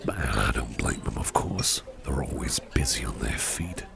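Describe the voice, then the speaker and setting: Man voicing a very deep, gravelly gentleman monster boss. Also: expressive, exaggerated voice. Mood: relaxed, friendly. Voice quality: very deep gravelly rumble, breathy half-whispers. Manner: unhurried, chummy, repeats phrases, chuckles. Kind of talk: ASMR roleplay, intimate soft speech to listener. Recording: restaurant crowd murmur behind. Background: restaurant chatter.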